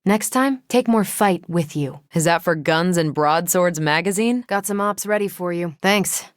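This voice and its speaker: A woman's voice: lowish voice, measured pace, dry recording, clean studio sound, natural voice. A woman with an American accent playing a confident, wry, upbeat superheroine in a video game, with breezy, teasing, casual delivery. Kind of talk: video game voice acting, short one-off lines.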